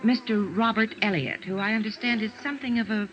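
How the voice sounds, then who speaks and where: A woman's voice: mid-range voice, brisk, fairly bright tone, natural voice. An actress playing a friendly, businesslike American insurance office woman with a coy, playful manner in a 1950s radio drama. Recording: dull old radio recording.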